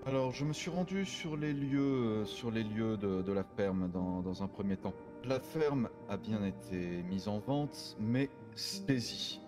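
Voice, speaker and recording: A man playing a courteous private detective in online roleplay, explaining things formally and deliberately, with halting pauses. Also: fairly low pitch, lightly acted. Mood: methodical. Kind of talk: improvised French online roleplay. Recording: background music under the voice.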